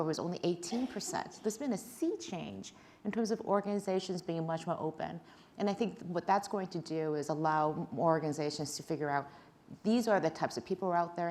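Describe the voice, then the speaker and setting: A woman with a very low voice speaks on a conference panel. She lays out trends and figures thoughtfully, and her voice drops and softens as she finishes each point. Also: slight background noise. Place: conference stage.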